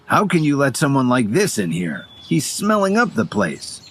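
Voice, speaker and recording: Man acting a haughty, sneering young manager with lively swings. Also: medium pitch, moderate pace, slight background noise, voice pushed up. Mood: contemptuous. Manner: haughty, taunting. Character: arrogant, sneering young male real estate manager.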